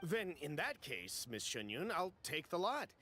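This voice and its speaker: A man's voice: on the high side, very animated, unhurried, bright, forceful tone, exaggerated voice. An actor playing an eager, fussy male merchant in a video game, speaking stiffly and formally with nervous hesitations. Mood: deferential. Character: eager, fussy male merchant, anxious about being cheated.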